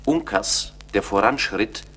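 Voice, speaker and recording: A man's voice: lowish voice, takes it slowly, a bit bright, a little hiss or hum. A man narrating a German radio play in a scripted, literary style.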